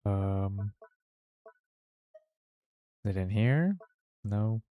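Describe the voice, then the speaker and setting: A man's voice: lowish voice, lively intonation, drawn-out words, no echo, narrow, tinny audio. A man giving offhand, slangy commentary while playing a game, often muttering to himself. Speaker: game streamer. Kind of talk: casual gameplay commentary. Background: game music.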